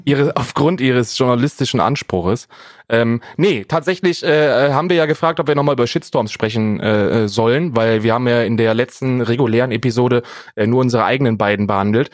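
A man's voice: medium pitch, fairly quick, dry recording, clean studio sound. A man co-hosting a casual podcast, bantering in an ironic, slangy way. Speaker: podcast co-host.

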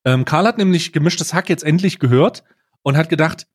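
Higher-pitched man, jokey podcast host. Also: moderate pace, husky. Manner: jokey, informal, slangy. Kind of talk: casual comedic podcast chat.

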